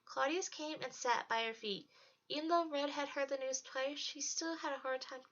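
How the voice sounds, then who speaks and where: A woman's voice: sits high, normal speed, a bit bright. A woman narrating a storybook as a read-aloud. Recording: dull lo-fi home recording.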